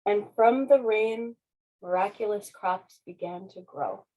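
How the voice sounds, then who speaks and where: A woman's voice: mid-range voice, measured pace, warm tone, low-quality recording. A woman, a children's author, speaking at a public reading of a picture book. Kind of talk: slow storybook narration.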